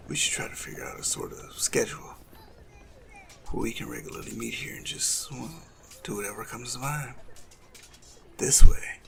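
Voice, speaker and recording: A man playing a suave, cunning assassin in love, speaking softly and formally in a romantic audio roleplay with a gravelly creak. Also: mid-range voice, a little hiss or hum. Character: lovestruck male assassin, suave, cunning, tenderly courting a noble. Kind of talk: romantic audio roleplay acting addressed to the listener.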